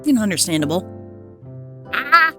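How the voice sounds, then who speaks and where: A woman's voice: medium pitch, big pitch swings, normal speed, sharp tone, faint music behind. A woman giving casual gameplay commentary, rambling and trailing off as she searches for words. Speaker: streamer playing a game.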